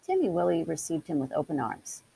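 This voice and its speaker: A woman reads a children's story aloud. Her voice is fairly low and heavily creaky, with very lively intonation. There is a faint hiss on the recording. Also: a little fast, rough recording. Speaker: storybook reader. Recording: hissy background noise.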